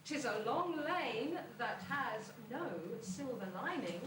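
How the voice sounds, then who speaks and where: Pantomime narrator, a woman, rhyming script, unhurried, echoey stage, far from the mic. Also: high voice, expressive, noisy background. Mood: measured, wry. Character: storybook narrator setting the scene in verse. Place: school hall stage, distant microphone. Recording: echoey distant stage recording with loud music.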